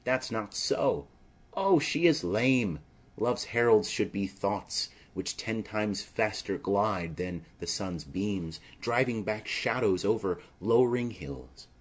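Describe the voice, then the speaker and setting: A man's voice: on the low side, animated, slow. A man reading the part of an impatient, lovesick teenage girl with a yearning, restless, poetic manner in a tragedy audiobook.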